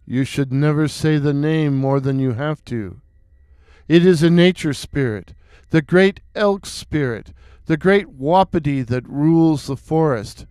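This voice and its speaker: A man voicing a towering, grim forest stranger who speaks in a deep, hollow, distant-sounding voice with slow, solemn warnings. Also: darkish tone, clean tone, no echo, lightly acted.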